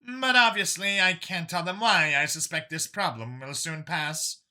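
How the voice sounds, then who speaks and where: A man voicing a weary, composed alien woman in government, speaking in a measured, hesitant way for a science fiction audiobook. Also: on the high side, sharp tone, voice pushed up. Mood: weary, worried.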